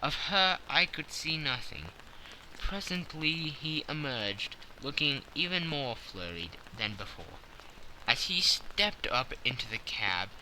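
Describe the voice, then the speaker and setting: A man's voice: mid-range voice, sharp tone, slight background noise, rough recording. A man narrating a classic story as a scripted, dramatic audiobook.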